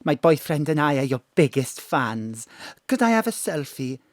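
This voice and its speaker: A woman's voice: deep voice, big pitch swings, moderate pace, no room sound, voice pushed down. A woman acts out a young male receptionist in an audio drama, her voice pushed well down. He is over-polite and fawning, with a snooty edge. The recording is close and dry. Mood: gushing.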